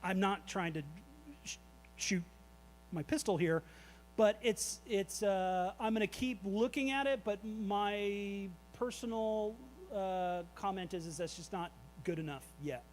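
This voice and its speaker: A man's voice: sits high, unhurried, slight background noise. A man speaking loosely and candidly at a meetup, answering audience questions about his creative tech work with plenty of fillers. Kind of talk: casual presentation Q&A. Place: event space at a meetup.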